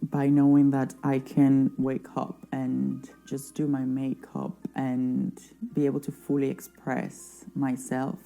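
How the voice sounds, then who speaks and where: A man giving soft, hesitant documentary testimony and often correcting himself. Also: medium pitch, gravelly, low-quality recording. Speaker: asylum seeker interviewee. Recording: faint music bed underneath.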